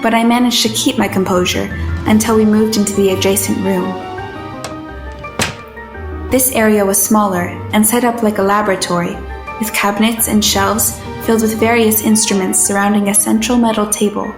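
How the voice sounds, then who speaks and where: A woman acting as an observant, uneasy but determined heroine who narrates an audio drama in a measured, literary manner. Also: mid-range voice, fairly even intonation, reverberant, over music, natural voice. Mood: measured narration. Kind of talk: first-person scripted narration in an audio drama.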